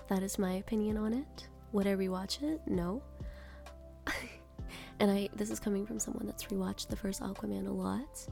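A young woman chatting casually in a soft whisper, with a slightly congested nose. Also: mid-range voice, gravelly. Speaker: podcast-style rambler.

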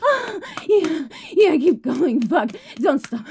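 A woman playing a very high-voiced, breathless bratty streamer. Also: slow, a little mellow, a little hiss or hum. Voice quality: breathy, moaning, tearful. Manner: panting and moaning between short phrases. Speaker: adult audio roleplay performer. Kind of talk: explicit audio roleplay.

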